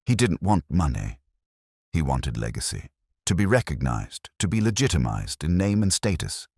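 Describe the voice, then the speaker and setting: A male narrator plays a weary old magistrate from a detective story, burdened with guilt. The voice is very low yet lifts and falls markedly, confessing in halting, formal phrases. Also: big pitch swings, gravelly, a little mellow, breathy edge, dry recording, studio quality, exaggerated voice. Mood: bitter, subdued.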